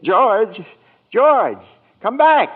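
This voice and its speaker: An actor playing a kindly, earnest old angel who gets flustered, in 1940s radio drama style. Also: male voice, sits high, sing-song, drawn-out words, long reverb tail, heavily acted. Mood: frantic. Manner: earnest, deferential, easily flustered. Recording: tinny old radio broadcast, music behind.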